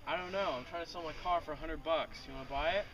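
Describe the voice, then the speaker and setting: Penniless crash victim played by a man, downcast, rapid delivery, off-mic in an amateur newsreel. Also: fairly high pitch, muffled recording, lightly acted. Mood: despondent, desperate. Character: broke, despondent man ruined by stock crash. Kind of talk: amateur acted newsreel scene. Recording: distant mic, noisy background.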